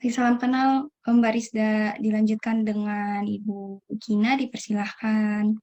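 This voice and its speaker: A woman's voice: medium pitch, level tone, a little slow, darkish tone, lo-fi sound. A young woman moderating a group discussion, courteously calling on each participant in turn. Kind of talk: polite formal moderating.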